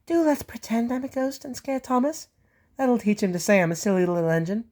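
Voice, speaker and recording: A storybook reader voicing a cheeky, playful young male tank engine with a high, squeaky voice. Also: lively intonation, brisk, a little mellow, natural voice. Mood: gleeful. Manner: spooky wailing when playing the ghost.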